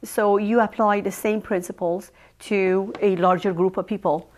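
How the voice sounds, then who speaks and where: A woman with a Persian accent speaks in an even middle register during a local cable interview. She talks in a measured, careful way and often pauses on ums and uhs while she gathers her thoughts. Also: medium pitch. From Iran. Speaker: village trustee candidate.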